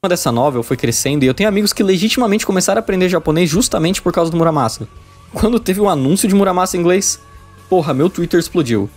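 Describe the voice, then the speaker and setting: A man's voice: high voice, expressive, fast. A man with a Brazilian accent narrating a scripted but informal, profane video essay. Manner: casual, profane. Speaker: video essayist reviewing visual novels. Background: background music.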